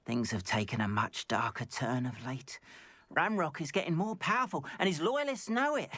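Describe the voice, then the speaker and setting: A man voicing a gentle, wronged goblin painter in a video game, speaking in an earnest, measured and formal way. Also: a little high, no room sound. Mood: earnest, troubled. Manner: measured, expressive, formal old-fashioned phrasing. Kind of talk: video game character acting.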